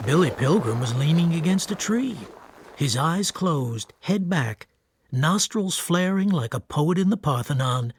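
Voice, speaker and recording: A man narrating a radio drama from a script in a measured, wry, literary way. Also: fairly high pitch, animated, slight background noise.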